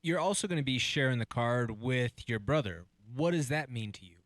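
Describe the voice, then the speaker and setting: A man's voice: medium pitch, expressive, normal speed, a bit bright, dry recording. A man co-hosting a sports radio show, casually chatting and asking a guest questions with a few restarts. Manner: friendly, asks questions with restarts. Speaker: radio co-host. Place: radio studio.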